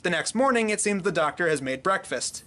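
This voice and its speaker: High-pitched man narrating a jokey review. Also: expressive, a little fast, bright, forceful tone, soft background music. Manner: wry, jokey asides. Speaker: fan fiction reviewer, host.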